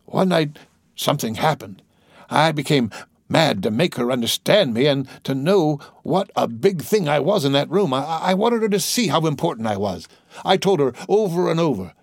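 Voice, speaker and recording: A man voicing a lonely, excitable little old man in an audiobook, with a thin, shaky, halting delivery. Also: mid-range voice, no room sound, studio quality, pitched above the performer's own voice. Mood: agitated, pleading. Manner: halting, stammering, repeating words.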